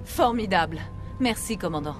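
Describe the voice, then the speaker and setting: A woman's voice: lowish voice, lively intonation, normal speed, music in the background, lightly acted. An actress playing a principled middle-aged mother and colony scientist in a game dub, firm and composed. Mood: reasoned, grateful. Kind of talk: dramatic French game dub acting.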